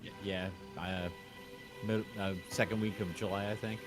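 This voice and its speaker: A man's voice: lowish voice, level tone, moderate pace, music in the background. A man in casual conversation, rambling and wandering off on digressions. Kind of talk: casual chat.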